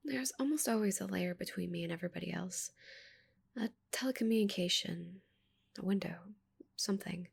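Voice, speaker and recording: A woman plays a touch-starved, curious giant alien woman in an intimate ASMR roleplay. Her voice is soft and whispery, breathy with a light crackle of fry. She speaks hesitantly and awkwardly, tripping over her words in a flustered way. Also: on the low side, a little fast, heavily acted. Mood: wistful, intimate.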